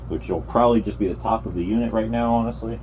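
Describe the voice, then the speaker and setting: A man's voice: lowish voice, quick pace, dull audio, background noise. A man talking casually to himself as he works on a hands-on repair, with dry, self-deprecating humour. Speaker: hobbyist narrating a repair. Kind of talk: casual vlog chat while working.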